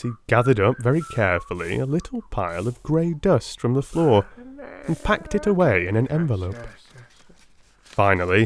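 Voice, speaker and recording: A man narrating a dramatised audiobook in a deliberate, theatrical storytelling manner. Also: medium pitch.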